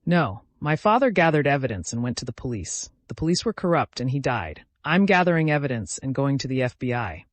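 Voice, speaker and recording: A man's voice: mid-range voice, very animated, a little fast, hardly any pauses, dry recording, lightly acted. A man voicing a determined, haunted young man in his twenties, speaking earnestly in a dramatic audio story. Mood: grim resolve. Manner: earnest, resolute.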